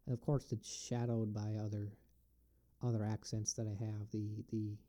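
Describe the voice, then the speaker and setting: Very dark-voiced upstate New York man. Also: on the low side, flat delivery. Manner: rambling, halting, thinks aloud. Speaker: vlogger answering questions. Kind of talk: casual solo musing.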